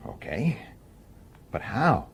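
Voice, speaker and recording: A blunt, husky police detective. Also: male voice, on the low side, huge pitch swings, slow, full character voice. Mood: incredulous. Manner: blunt, incredulous, argumentative. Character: sceptical, blunt, hot-headed human male police detective. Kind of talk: radio drama acting.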